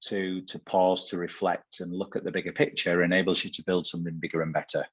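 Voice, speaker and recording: Low husky man hosting an interview. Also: little pitch movement, fast talker. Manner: earnest, repeats words while building a point. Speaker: host. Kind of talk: conversational interview.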